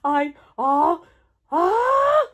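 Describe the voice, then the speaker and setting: A woman's voice: very high voice, big pitch swings, very slow, fairly bright tone, full character voice. A woman voicing a distraught, melodramatic male elephant in a storybook, wailing and stammering with exclamations. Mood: tragic despair.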